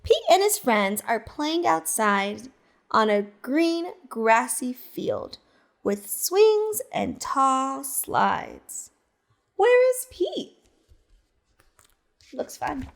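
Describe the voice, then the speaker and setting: Woman librarian for a kids' read-aloud, high and very animated, unhurried pace. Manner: slow, expressive storytelling that asks the listener questions. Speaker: teacher librarian. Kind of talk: reading a picture book aloud to children.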